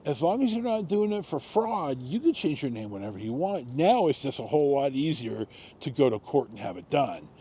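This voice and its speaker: A man in his sixties with an American accent, telling personal stories casually, in a halting, rambling way with frequent pauses and repetitions. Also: medium pitch, very animated, warm tone, slight background noise. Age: 60s. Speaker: storytelling vlogger.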